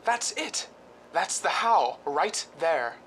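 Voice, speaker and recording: An actor playing a restless, scheming husband of a film star in a radio drama, hesitant and conspiratorial. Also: male voice, a little high, sing-song, slow, a bit bright, slight background noise, heavily acted. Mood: excited, scheming.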